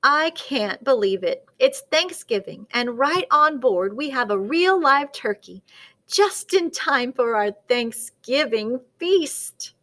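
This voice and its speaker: A woman voicing an excited, hungry male sailor in a storybook, exclaiming in amazement. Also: high voice, slow, exaggerated voice. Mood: amazed.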